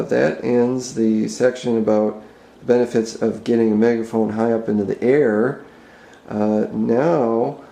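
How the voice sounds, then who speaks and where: A man with a dark, mellow voice talks through an informal product demonstration. He is hesitant and plain-spoken, feeling his way through each explanation. The sound is roomy and echoey, as if recorded indoors on a camera mic. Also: on the low side, creaky. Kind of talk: unscripted explanatory video tour. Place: indoor room with bare walls.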